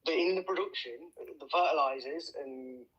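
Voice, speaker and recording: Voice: mid-range voice, moderate pace, a bit bright. Defensive debater on a tinny call line. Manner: defensive, complains of interruptions. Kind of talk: heated debate. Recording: tinny phone-like call audio.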